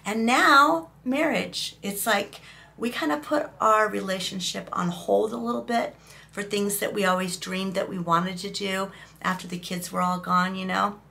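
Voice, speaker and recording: 50s lady vlogging at home, hoarse gravelly voice, slow chatty rambling. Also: medium pitch, steady tone, sharp tone. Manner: chatty, emotional, rambling with fillers. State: hoarse from a cough. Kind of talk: casual talking-head vlog. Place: small indoor room.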